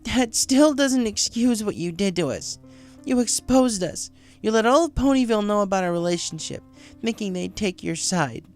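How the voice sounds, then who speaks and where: A man's voice: high voice, lively intonation, moderate pace, a little mellow, soft background music, voice pushed up. A man voicing a brash, defiant young mare pony in an audiobook story, with a blunt and accusing manner. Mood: hurt, angry.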